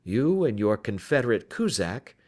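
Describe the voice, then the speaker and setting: A man voicing a brisk, confident gentleman detective in an audiobook story, suave, wry and commanding. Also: fairly low pitch, sing-song. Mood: confident, accusing.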